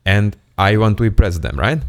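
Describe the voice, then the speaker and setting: A young man from Hungary speaks English in a very deep, low register. He talks to the camera in a playful, self-mocking and candid way, like a casual vlogger. The sound is dry and close, with no room echo. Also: animated, moderate pace. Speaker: self-improvement YouTuber. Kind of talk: casual storytelling monologue to camera.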